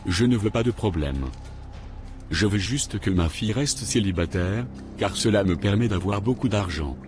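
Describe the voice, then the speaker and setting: Guy voicing a serious cartoon man, fairly low voice, measured, bit of music under it. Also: lively intonation, close to an everyday voice. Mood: uneasy. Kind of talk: French-language cartoon dub.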